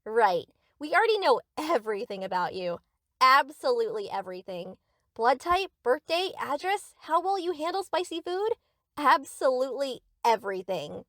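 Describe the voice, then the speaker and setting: Woman doing a sweet clingy yandere bestie for asmr roleplay, really high voice, dramatic and bouncy, super doting. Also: big pitch swings, normal speed, fairly bright tone, no echo, clean studio sound. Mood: obsessive, sweet. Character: sweet, eager, obsessive yandere best friend, young. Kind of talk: anime-style ASMR audio roleplay.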